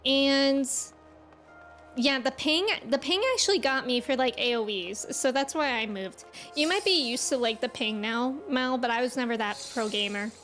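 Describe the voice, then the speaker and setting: High-voiced woman chatting on a livestream. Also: normal speed, a bit bright. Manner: chatty, appreciative, informal. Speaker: game streamer. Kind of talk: casual livestream chat with viewers. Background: game music.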